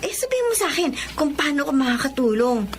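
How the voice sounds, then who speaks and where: Devoted young Filipino wife, eager, high-pitched animated delivery, radio drama, slight ambient noise. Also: female voice, fast. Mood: eager, supportive.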